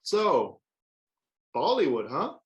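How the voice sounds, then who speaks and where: A woman's voice: low voice, big pitch swings, slow pace, exaggerated voice. A woman playing an easygoing, flirty film buyer in a conversational table read over a video call. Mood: easy, teasing. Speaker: actor in a screenplay table read. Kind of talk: conversational script reading.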